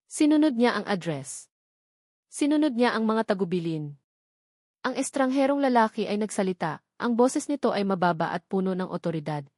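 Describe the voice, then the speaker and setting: A woman's voice: medium pitch, a little mellow, dry recording, studio quality. A woman with a Filipino accent reading a scripted love story as a dramatic audiobook narrator.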